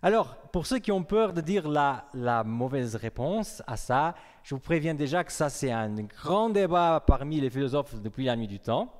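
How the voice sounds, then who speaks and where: Young pastor preaching in an echoing hall. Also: male voice, on the high side, lively intonation, moderate pace, hardly any pauses. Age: young adult. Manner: conversational, asks the congregation questions. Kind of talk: sermon. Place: church hall. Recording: long reverb of a hall.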